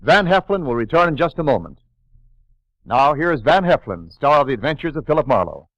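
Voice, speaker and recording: A man's voice: on the high side, very animated, a little fast, no room sound. A man giving formal, scripted announcements as a radio announcer. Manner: formal, crisp announcer delivery. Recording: lo-fi 1940s radio broadcast with music. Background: music.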